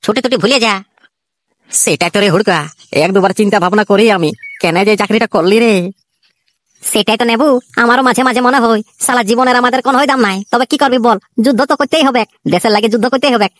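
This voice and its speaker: A voice artist voicing two jittery young male soldiers in a comic cartoon, with a Purulia Bengali accent and rapid, chatty banter. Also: medium pitch, a bit bright, no echo, close to an everyday voice.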